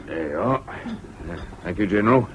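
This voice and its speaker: A man's voice: deep voice, animated, moderate pace, lo-fi sound. An actor playing an easygoing, deferential country horseman in a radio drama. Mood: friendly, deferential. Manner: easygoing, respectful. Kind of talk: British radio drama acting. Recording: faintly hissy old radio recording.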